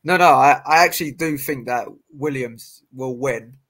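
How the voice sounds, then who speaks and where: Young boxer host, mid-pitched, rambling casual chat. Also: male voice, normal speed, fairly bright tone. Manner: rambling, lots of fillers and restarts.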